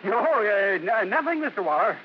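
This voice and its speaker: Flustered American ticket seller. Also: male voice, high-pitched, big pitch swings, moderate pace. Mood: nervous. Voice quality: stammering. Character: flustered, grudging young theater ticket seller, nervous of boss. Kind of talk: 1950s American radio drama acting. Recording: muffled, noisy old radio broadcast.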